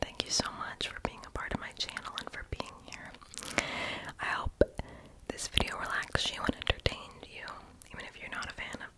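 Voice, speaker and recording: A young adult speaking entirely in a breathy, unvoiced whisper, rambling casually and self-deprecatingly in an ASMR voiceover, with soft mouth sounds. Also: normal speed, poor audio. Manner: mouth sounds, lip smacking. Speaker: ASMR video creator.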